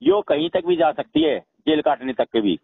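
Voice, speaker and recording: A man's voice: on the high side, huge pitch swings, normal speed, vocal fry, husky, no room sound. A middle-aged man with a Haryanvi accent, arguing insistently on a recorded phone call and repeating himself to be heard. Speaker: father-in-law in a family dispute. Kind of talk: heated phone conversation. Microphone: telephone.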